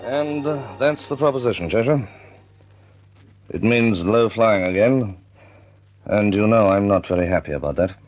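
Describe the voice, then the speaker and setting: A man playing a measured, shrewd senior air force commander in a wartime radio drama. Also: fairly low pitch, animated, faint music behind. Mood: measured, grave.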